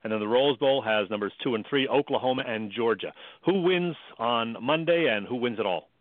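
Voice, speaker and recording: A man's voice: mid-range voice, expressive, fairly quick, dry recording. Male co-host, sports interview, conversational, dry, narrow telephone-like sound.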